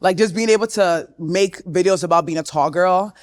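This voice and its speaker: A young woman chats as a podcast guest, full of laughter and quick restarts. She grew up between Belgium, Nigeria and New York, and her first language was French. She tells her stories with animated, playful energy. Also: fairly low pitch. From Nigerian-American, raised in Belgium, Nigeria and New York. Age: 20s. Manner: lively storyteller, laughs often, quick restarts and "like". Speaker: guest, content creator and comedian. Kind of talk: casual podcast interview chat.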